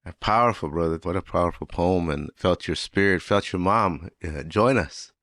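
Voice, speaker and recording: A man's voice: deep voice, moderate pace, dry recording, studio quality. An older man hosting an interview, affirming and reflective, leaning heavily on "you know" between phrases. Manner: warm, affirming, heavy use of "you know". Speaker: host. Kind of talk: interview conversation.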